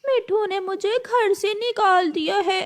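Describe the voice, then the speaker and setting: A woman's voice: extremely high pitch, unhurried, voice pushed up. A woman voicing a hurt, indignant female parrot wife in a children's cartoon, with a plaintive manner. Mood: tearful, resolute. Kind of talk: Hindi children's cartoon voice acting.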